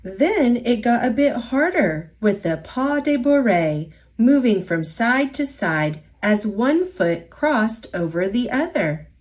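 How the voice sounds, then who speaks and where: A woman reading a children's picture book aloud in an expressive storytelling voice. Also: a little high, slow, hardly any pauses.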